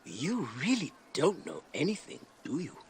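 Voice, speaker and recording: An actor playing a nervous, evasive market informant in a video game, with an anxious, chattering manner. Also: male voice, fairly high pitch, huge pitch swings, moderate pace, vocal fry, a little hiss or hum, full character voice. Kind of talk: dramatic video game acting. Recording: loud background music.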